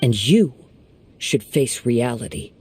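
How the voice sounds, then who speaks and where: A man playing a calm, perceptive young wandering swordsman in a video game, speaking in a measured, composed, formal way. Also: mid-range voice, a little hiss or hum. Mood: firm, reproving. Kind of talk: scripted video game dialogue acting. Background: game soundtrack music.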